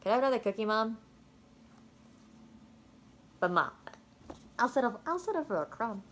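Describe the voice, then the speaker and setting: Casting: woman as a reluctant schoolboy, plaintive and wry, very varied pitch, children's storybook. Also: big pitch swings, moderate pace, fairly bright tone. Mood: pleading. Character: reluctant schoolboy who dreads reading a big book. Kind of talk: children's storybook read-aloud.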